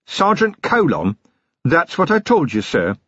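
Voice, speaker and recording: A man voicing a tiny, fierce and sly male gnome constable with a high, lilting Scottish brogue. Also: wildly swooping pitch, measured pace, fairly bright tone, husky, no echo, muffled recording, full character voice. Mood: sly, earnest.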